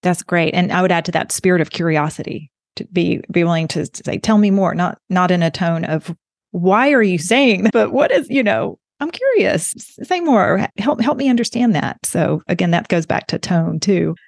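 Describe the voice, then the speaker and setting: A woman's voice: on the low side, animated, moderate pace, creaky, no echo, studio quality. Conversational woman hosting an interview. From Alabama. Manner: conversational, encouraging, asks questions. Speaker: podcast host. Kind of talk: professional podcast interview.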